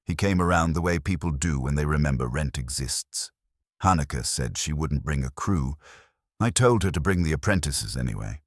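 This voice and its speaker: Male narrator, very low register, lively intonation, dry close sound. Wry detective fiction read. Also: moderate pace, no echo. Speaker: audiobook narrator. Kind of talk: scripted first-person detective story narration.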